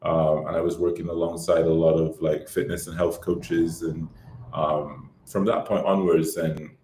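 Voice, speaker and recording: A man in his thirties from Barbados, a podcast guest telling his life story in a relaxed, rambling way with lots of fillers. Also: very deep voice, measured pace, reverberant, a little hiss or hum. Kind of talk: casual interview chat.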